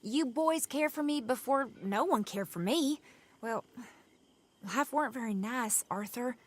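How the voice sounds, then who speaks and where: A woman playing a gentle, wistful young outlaw camp member in a naturalistic video game drama. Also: on the high side, unhurried.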